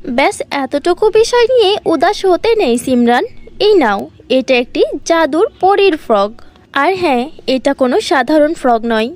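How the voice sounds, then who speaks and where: Gentle cartoon fairy, high, soothing, woman voicing. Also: lively intonation, a little slow. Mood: gentle coaxing. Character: kind gentle female fairy.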